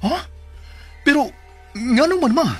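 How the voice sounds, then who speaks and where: A man playing an earnest, defiant son in a declamatory Philippine radio drama, with a husky voice. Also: extremely high pitch, expressive, slow pace, fairly bright tone, soft background music, full character voice. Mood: shocked. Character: earnest, defiant young son returned to his hometown.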